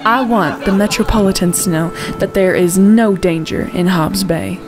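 A woman's voice: mid-range voice, moderate pace, music in the background, close to an everyday voice. A woman playing a resolute state governor in an audio drama, speaking formally and with assurance. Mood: assured. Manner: formal, assured politician's talk. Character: poised, resolute female state governor and mother.

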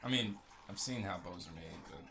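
A man with a creaky voice giving casual, joking video game commentary, talking to himself as he plays. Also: low-pitched, a little fast, background noise.

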